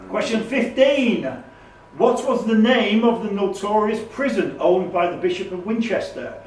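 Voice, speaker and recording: A man's voice: high-pitched, measured pace. A man acting as quizmaster, reading questions aloud and ruling on the answers. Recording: roomy, distant, noisy recording.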